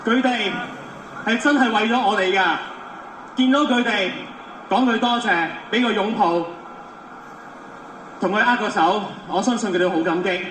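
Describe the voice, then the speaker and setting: A man's voice: sits high, normal speed, long pauses, a bit bright. A man in his thirties from Hong Kong, a volunteer first aider, giving an emphatic, pleading speech to a protest crowd. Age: 30s. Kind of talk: impassioned street speech. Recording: echoey, distant, faint street noise.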